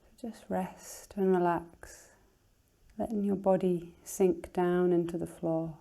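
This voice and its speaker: A woman teacher leading a guided relaxation in a soothing, unhurried, gently instructing manner, her soft voice turning breathy at phrase ends. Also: on the low side, little pitch movement.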